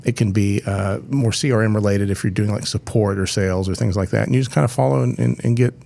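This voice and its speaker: A man's voice: fairly low pitch, fairly even intonation, quick pace, gravelly, mellow. A man co-hosting a podcast, explaining things in casual chat with frequent restarts. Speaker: podcast co-host.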